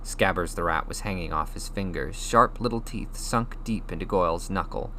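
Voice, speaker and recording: A man reading a storybook aloud as an expressive audiobook narrator. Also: low-pitched, moderate pace. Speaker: audiobook reader. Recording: faint noise and hum.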